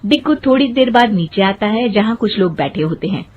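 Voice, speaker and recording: A woman's voice: mid-range voice, lively intonation, a little fast, narrow, tinny audio, a little hiss or hum. A woman narrating a scripted cartoon story as its storyteller.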